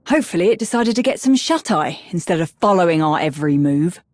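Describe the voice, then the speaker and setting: A woman playing a wary, sharp-tongued companion in a video game, blunt and skeptical. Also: fairly low pitch, lively intonation, quick pace, no room sound, clean studio sound, lightly acted. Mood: wary, wry.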